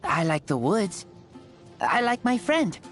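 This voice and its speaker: A man voicing a feral teenage wolf boy in a video game, blunt and earnest, speaking in halting, simple sentences. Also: high-pitched, sing-song, fairly bright tone, exaggerated voice. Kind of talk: video game character voice lines. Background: music.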